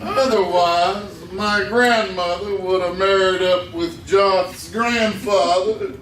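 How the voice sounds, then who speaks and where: A man's voice: high-pitched, fairly even intonation, slow pace. A man playing a folksy, earnest hill-country settler in a radio western. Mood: earnest, explaining. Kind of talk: live old-time radio western acting. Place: live stage in a hall with audience. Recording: far, echoey hall, loud crowd noise.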